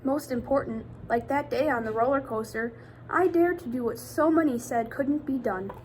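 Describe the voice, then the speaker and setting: A woman's voice: high-pitched, moderate pace, darkish tone, a little hiss or hum, poor audio. A woman reading a picture book aloud to children, expressively, addressing them directly with questions like a teacher.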